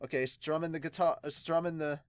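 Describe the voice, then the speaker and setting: A woman's voice: low voice, fairly even intonation, a little fast, dry recording, clean studio sound. A woman hosting an anime podcast, giggly and exclaiming as she reacts casually to a manga. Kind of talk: casual excited reaction and read-aloud.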